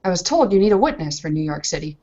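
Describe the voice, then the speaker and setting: A haughty young noblewoman of the tabletop game , acted by a woman speaks in a fairly low voice. She is curt, proper and cross, and the recording is slightly muffled. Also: sing-song, fast, mellow. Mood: indignant. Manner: clipped, formal, haughty.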